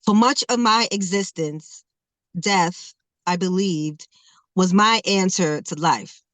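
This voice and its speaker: A woman's voice: fairly low pitch, slow pace, fairly bright tone, no room sound. A woman around forty, a guest who performs a rhythmic, emphatic spoken word poem and then talks casually with laughs. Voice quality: says she stutters, not heard. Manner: rhythmic, emphatic poetry delivery with laughs between phrases.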